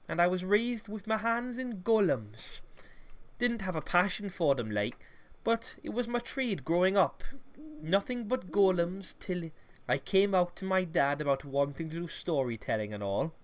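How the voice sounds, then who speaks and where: Man playing a rambling young storyteller with swooping pitch. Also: lively intonation, a little slow. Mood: relaxed, chatty. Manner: rambling, colloquial, sweary. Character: laid-back young storyteller, good-natured golem maker's son. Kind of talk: audio comedy fantasy drama.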